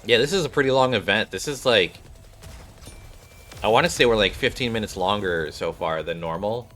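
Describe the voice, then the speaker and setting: Bright, low-voiced male game streamer. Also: moderate pace, faint music behind. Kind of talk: reading game dialogue aloud with casual commentary.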